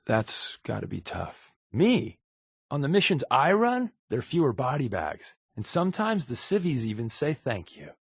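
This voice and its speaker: An actor playing a wry, wisecracking undercover agent in a video game, with a sarcastic, deadpan, conversational delivery. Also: male voice, mid-range voice, moderate pace, creaky, breathy edge, no echo, studio quality, full character voice. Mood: earnest, reflective. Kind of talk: video game companion dialogue.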